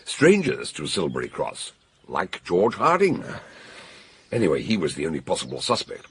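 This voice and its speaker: A deep, very husky older British man holds forth as a shrewd amateur detective in a radio mystery. His delivery swings widely, jovial and commanding, with theatrical relish as he explains. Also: huge pitch swings, moderate pace, a bit bright, no room sound, heavily acted. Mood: genial, explaining. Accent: British English. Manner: theatrical, expansive, authoritative explaining.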